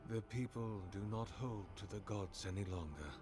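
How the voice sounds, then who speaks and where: A man's voice: lowish voice, normal speed, close to an everyday voice. A man voicing an ancient, devout male oracle priest who speaks in grave, archaic phrasing. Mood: solemn. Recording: game audio over loud music.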